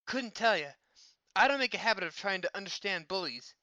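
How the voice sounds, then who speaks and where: A woman's voice: mid-range voice, animated, brisk, sharp tone, dry recording. A woman voicing a cheeky, loyal young male sidekick in a dramatized story, wry, casual and easily exasperated.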